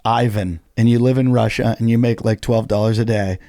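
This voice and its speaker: A middle-aged man, a stand-up comedian, telling stories in a casual podcast chat, animated and swearing freely. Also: fairly low pitch, a little fast. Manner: animated storytelling, heavy swearing.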